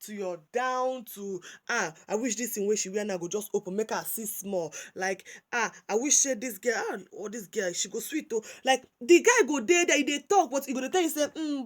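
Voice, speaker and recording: A Nigerian woman with a naturally high voice speaks in a casual, opinionated commentary style, mixing English with Pidgin. Her delivery is animated and repetitive, full of restarts and emphatic asides. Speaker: commentary vlogger.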